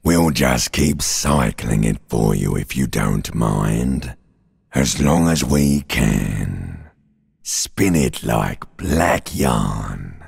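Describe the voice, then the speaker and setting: An ancient, primal creature of the mind speaks in theatrical game style. The voice is bottomlessly low and thick with guttural fry. It drags its words very slowly, taunting and nihilistic, full of menace. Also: male voice, expressive, a little mellow, heavily acted. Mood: ominous, coaxing. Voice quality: gravelly, very raspy, guttural. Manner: slow, drawn-out, menacing, mocking. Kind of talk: theatrical video game voice acting.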